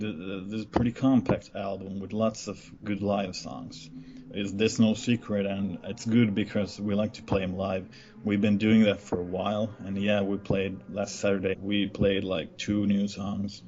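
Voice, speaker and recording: A man from Finland with a Finnish accent, giving a casual interview about his band and often pausing with "uh.". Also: fairly low pitch, muffled recording, a little hiss or hum. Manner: relaxed, halting with many uhs.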